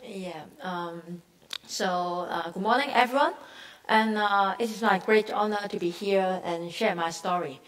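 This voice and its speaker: A woman's voice: mid-range voice, a little slow, a bit bright. A woman speaker telling her personal story at a conference in a chatty way, often adding "okay?". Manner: chatty, tag questions like "okay?". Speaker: panel speaker, football coach. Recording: distant mic, faint background noise.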